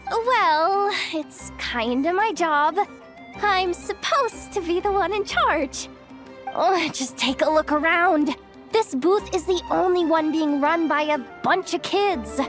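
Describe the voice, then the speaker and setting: Very high-voiced earnest young manager. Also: female voice, slow, fairly bright tone, exaggerated voice. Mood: wary. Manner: earnest, fretful. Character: earnest, overworked young woman expo manager. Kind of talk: animated game voice acting. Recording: music under the voice.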